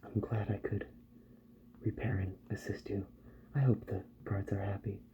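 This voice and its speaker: A man doing a soft-spoken ASMR roleplay as a calm, polite doctor who repairs robots, murmuring gently and often dropping into a whisper. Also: on the low side, dull audio, a little hiss or hum. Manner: gentle, slow, murmured reassurance to the listener.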